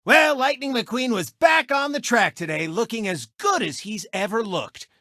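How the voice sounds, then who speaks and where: A gloating cartoon race car who hosts a sportscast in a video game. He is a smug, theatrical showman with a bright, brassy edge, and his pitch leaps around as he announces and taunts. The sound is close and dry. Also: animated, moderate pace, dry recording, full character voice. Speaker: sports show host and racer.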